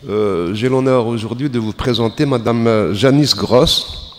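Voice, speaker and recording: A man formally introducing a guest speaker at a festival, measured with occasional fillers. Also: mid-range voice, slight background noise. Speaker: festival host, moderator. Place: lecture hall. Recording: long reverb of a hall.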